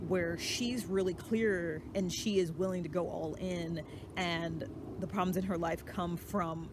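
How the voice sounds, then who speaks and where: Casual vlogger with clear background noise. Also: mid-range voice. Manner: conversational, self-reflective, thinking aloud. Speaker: channel host. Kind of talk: casual reflective solo vlog about personality typing.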